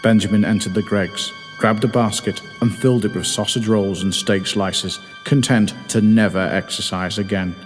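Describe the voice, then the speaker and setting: A man's voice: on the low side, steady tone, moderate pace, hardly any pauses. A man reading his own comic story as scripted narration, with a mock-dramatic storytelling manner. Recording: music behind the voice.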